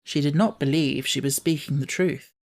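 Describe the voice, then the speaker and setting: A man's voice: a little high, moderate pace, a little mellow. A man reading a children's novel aloud as scripted audiobook narration.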